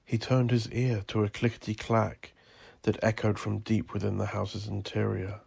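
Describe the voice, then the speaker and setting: Low, gravelly male horror narrator. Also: level tone, normal speed, darkish tone. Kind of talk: scripted dark horror fiction narration.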